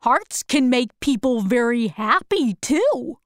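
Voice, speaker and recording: Woman reading as a gentle tin man. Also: fairly high pitch, very animated, slow pace, dry recording, studio quality. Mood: sincere.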